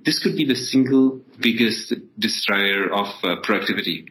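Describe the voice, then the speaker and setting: A man's voice: fairly low pitch, normal speed, a bit bright, low-quality recording. A man from Ethiopia, a startup founder, explaining things conversationally as an interview guest.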